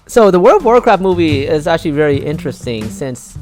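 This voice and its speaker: Animated, higher-pitched man hosting a podcast. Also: a little fast, faint music behind. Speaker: podcast host. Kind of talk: casual, animated panel chat.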